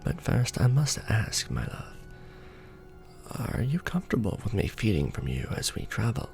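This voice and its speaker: A man as a deep, gravelly, courtly vampire lord. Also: wildly swooping pitch, a little mellow, soft background music, exaggerated voice. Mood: tender, concerned. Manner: formal, deliberate, tender, halting pauses. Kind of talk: ASMR romance roleplay.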